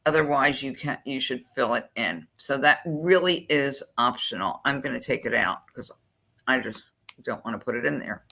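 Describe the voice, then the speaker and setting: Admissions counselor, screen-share tutorial, gravelly fry, bright forward tone, chatty, thinks aloud. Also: medium pitch, moderate pace. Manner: chatty, thinks aloud while clicking through forms.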